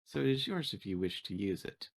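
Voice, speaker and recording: A man's voice: on the low side, big pitch swings, fairly quick, gravelly, husky, full character voice. A man voicing a polite, helpful android crew member in a tabletop sci-fi game, speaking formally and precisely. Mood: formal, dispassionate. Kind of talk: in-character tabletop sci-fi roleplay.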